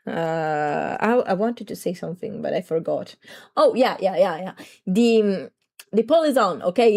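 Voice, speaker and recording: Slightly raspy woman rambling on stream. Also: mid-range voice, a little mellow. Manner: rambling, chatty, greets viewers. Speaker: VTuber streamer. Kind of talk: casual livestream chat.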